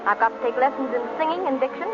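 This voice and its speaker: Woman as young starlet, high voice, rapid chatter, 1930s radio skit, muffled sound, loud music behind. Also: background noise, natural voice. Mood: chatty. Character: chatty young aspiring female film actress.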